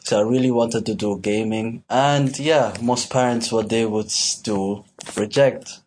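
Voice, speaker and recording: A young man from Switzerland chats casually on a student podcast. His voice sits fairly low and he talks very slowly. He often stops and restarts his sentences, with a faint hiss of noise behind him. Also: a little mellow. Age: young adult. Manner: hesitant, halting, restarts words. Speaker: podcast co-host, university student. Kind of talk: casual chat.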